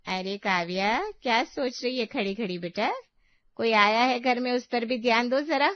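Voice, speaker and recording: A woman's voice: on the high side, normal speed, dry recording, natural voice. Woman acting a taunting meddlesome aunt, muffled dry sound. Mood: snide. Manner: pointed, mock-sympathetic. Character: meddling, taunting middle-aged aunt, sanctimonious and disapproving. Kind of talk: acted dialogue in a Hindi family story.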